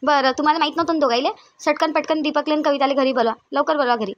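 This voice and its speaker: A woman's voice: high voice, fairly even intonation, racing, no room sound, lo-fi sound, close to an everyday voice. A woman playing a stern, bossy mother-in-law in a family drama, scolding insistently in a Varhadi Marathi accent. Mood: accusing. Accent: Varhadi Marathi of Vidarbha. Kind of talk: amateur family comedy-drama dialogue.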